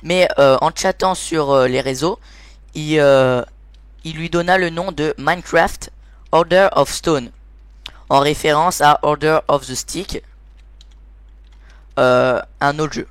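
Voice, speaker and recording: A man with a somewhat high-pitched voice hosts an informal explainer over gameplay. His delivery is hesitant, and he often stops to search for the next word. The sound is very dry, with hardly any room in it. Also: fairly even intonation, takes it slowly, long pauses, clean tone, dry recording. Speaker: host of a gaming video series.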